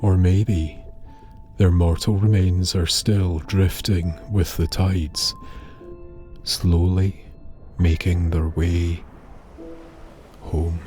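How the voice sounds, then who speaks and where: A man with a low, deep voice tells a dark tale over music. His delivery is very unhurried and solemn, each phrase weighed and set apart by pauses. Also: flat delivery. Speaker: storyteller, narrator. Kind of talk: scripted narration of an eerie tale.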